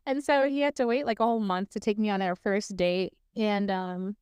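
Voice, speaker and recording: A woman's voice: medium pitch, steady tone, moderate pace, darkish tone, clear, resonant tone, no room sound, clean studio sound. A woman around thirty hosting her own podcast, chatting casually and telling stories with lots of "like" and "um" and easy laughs. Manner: chatty, fills speech with "like" and "um"; laughs easily while talking. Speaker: podcast host. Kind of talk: casual solo storytelling.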